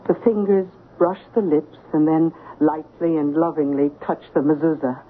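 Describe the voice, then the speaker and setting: An actress playing a sharp, earnest, resolute household assistant in a 1970s radio drama. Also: female voice, low-pitched, fairly even intonation, moderate pace, phone-quality sound, lightly acted. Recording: thin, faintly noisy 1970s radio broadcast.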